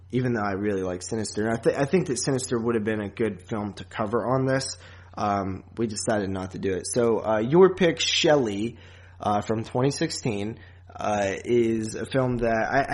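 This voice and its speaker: Low-voiced man hosting a casual podcast. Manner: rambling and halting, with frequent asides. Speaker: podcast host. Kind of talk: casual movie podcast chat.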